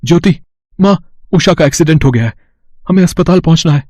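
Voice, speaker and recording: A man's voice: on the high side, expressive, a little fast, darkish tone, dry recording, pitched above the performer's own voice. Man playing an anxious, urgent husband. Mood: alarmed, urgent. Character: anxious husband, grown son of the family.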